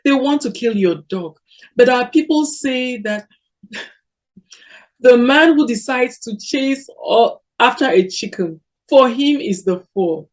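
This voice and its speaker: A woman reading aloud, voicing a quarrelsome young village wife who scolds in a shrill, sneering voice. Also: expressive, takes it slowly. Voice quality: shrill, sneering sing-song.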